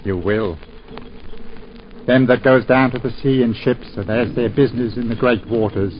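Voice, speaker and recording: An actor playing a humble, pious old village gardener, talking in a deferential, rambling way. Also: male voice, mid-range voice, moderate pace, natural voice. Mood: gentle. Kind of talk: 1940s radio acting. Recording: muffled 1940s radio broadcast with hiss.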